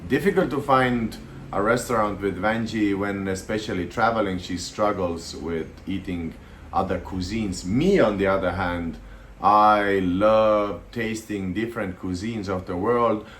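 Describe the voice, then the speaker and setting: A man in his thirties, from Estonia, chatting casually to camera with a relaxed, hesitant delivery and frequent fillers. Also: fairly low pitch, measured pace, a little hiss or hum. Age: 30s. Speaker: vlogger.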